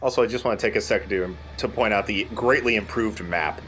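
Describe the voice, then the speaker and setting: Low-voiced man commentating over game music. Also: a little fast, fairly bright tone. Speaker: let's play host.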